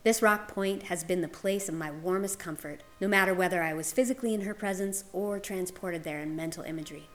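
A woman's voice: lowish voice, fairly quick, few pauses. A woman reading a scripted literary story aloud as a narrator. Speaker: guest reader. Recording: music under the voice. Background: music.

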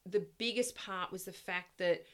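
A middle-aged Australian woman chatting casually as an interview guest, reminiscing in a loud, projecting, storytelling way. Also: on the low side, a little slow. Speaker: interview guest, swim club parent volunteer.

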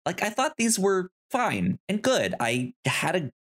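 A podcast co-host speaks in a relaxed, conversational review style. The voice sits at a medium pitch, and sentences come haltingly, with words repeated and restarted. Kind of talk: casual review chat.

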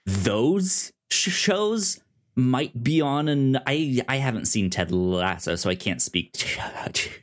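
Halting man chatting on a review podcast. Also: medium pitch, lively intonation. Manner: halting, stammering, often repeats words. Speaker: podcast co-host reviewing a TV show. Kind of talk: casual review chat.

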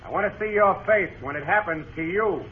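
A sly, taunting gunfighter played by a male actor from a vintage radio Western. His voice sits high for a man and mocks whoever faces him. The recording is thin and tinny, with some noise. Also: a little fast, close to an everyday voice. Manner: taunting, mocking. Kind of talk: 1950s radio Western acting.

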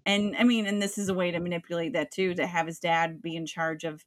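A woman's voice: lowish voice, steady tone, a little fast, no echo. A woman co-hosting a casual film podcast, talking in a rambling way with many fillers. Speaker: podcast co-host.

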